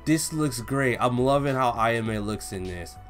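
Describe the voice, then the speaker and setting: A young man giving an excited, slangy, casual reaction commentary as he reads along, gushing as host. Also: mid-range voice, a little slow, faint music behind. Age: young adult. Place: home room with bookshelf, indoors.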